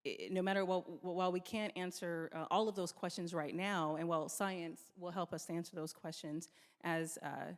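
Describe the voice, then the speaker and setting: A woman with a fairly low voice speaks as a nurse at a public event. She is reflective and circles back to key phrases, with long hall reverb around her. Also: steady tone, moderate pace. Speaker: nurse, association representative. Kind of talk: impromptu public remarks. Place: large indoor hall at a live event.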